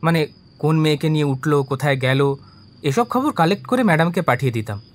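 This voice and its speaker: An actor in an audio drama playing an eager, deferential small-time private investigator who earnestly defends himself to the police. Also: male voice, fairly high pitch, expressive, fast, close to an everyday voice. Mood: eager, defensive.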